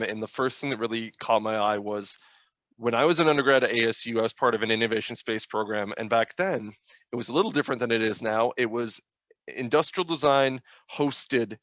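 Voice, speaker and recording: A man in his thirties speaks as a panelist in an informal webinar. His voice sits at a middle pitch, and the sound is dry with very little room in it. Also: fairly bright tone, dry recording. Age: 30s. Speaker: graduate student panelist.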